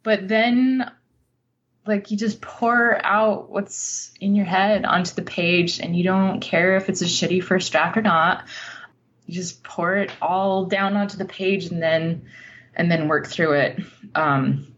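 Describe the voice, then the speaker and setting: A woman giving a reflective, conversational interview as a guest writer, speaking candidly and informally in a halting way over muffled audio. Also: lowish voice, fairly even intonation, fairly bright tone.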